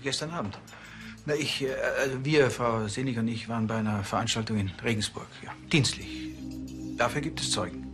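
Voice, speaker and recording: An actor plays a flustered male museum director in a TV comedy drama. He is evasive and over-polite, halting at a medium pitch over faint music. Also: natural voice. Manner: hesitant, over-polite, faltering.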